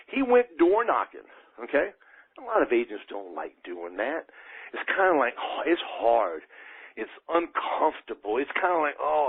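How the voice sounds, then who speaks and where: A man's voice: fairly high pitch, slightly rough. A man giving an emphatic motivational sales talk as a team leader, exhorting his listeners directly over a conference call. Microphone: telephone. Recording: muffled, narrow phone conference line.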